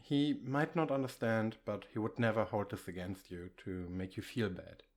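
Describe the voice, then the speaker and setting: A man playing an affectionate, reassuring boyfriend in an intimate ASMR comfort roleplay, speaking gently and a little haltingly. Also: fairly low pitch, fairly even intonation, lightly acted. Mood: reassuring, gentle. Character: affectionate, reassuring boyfriend, shyly bragging about his partner.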